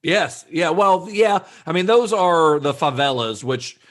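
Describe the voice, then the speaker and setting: A man's voice: fairly high pitch, measured pace. Chatty man on a film podcast. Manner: chatty, relaxed, with frequent "like" and restarts. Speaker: podcast co-host. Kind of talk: casual film discussion.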